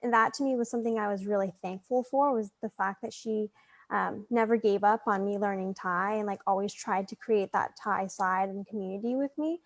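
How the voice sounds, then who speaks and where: A woman's voice: mid-range voice, a little fast, gravelly, dry recording. A young woman answering interview questions earnestly, with lots of "like" fillers. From United States. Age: young adult. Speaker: scholarship recipient guest.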